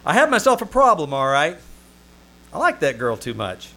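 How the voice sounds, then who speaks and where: A man's voice: a little high, moderate pace, over music. A man playing a wry, lovesick and easily flustered Hollywood press agent in an old-time radio comedy performed on a theater stage. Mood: wry narration. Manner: wry, excitable, stammering when flustered. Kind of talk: old-time radio comedy acting with first-person narration. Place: small-town theater stage. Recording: noisy live theater stage recording.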